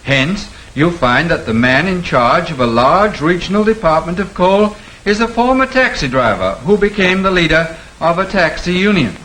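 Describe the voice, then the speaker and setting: A man reading quotations aloud in a 1950s documentary, with a declamatory, expressive delivery. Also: on the high side, moderate pace, few pauses, poor audio. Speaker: reader of quotations.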